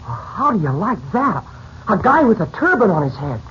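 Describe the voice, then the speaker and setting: A performer playing an eager, slangy, plucky teenage boy in a 1940s radio serial, excitable and quick to wisecrack. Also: medium pitch. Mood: excited. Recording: muffled, noisy 1940s radio recording.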